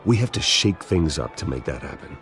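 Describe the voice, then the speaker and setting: A brooding American billionaire in a game cutscene. His voice is very low yet varied in pitch, with a dry, quietly determined delivery over faint music. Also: very animated, fairly quick, exaggerated voice. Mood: measured, determined. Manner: understated, dry, assured. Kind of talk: video game cutscene acting.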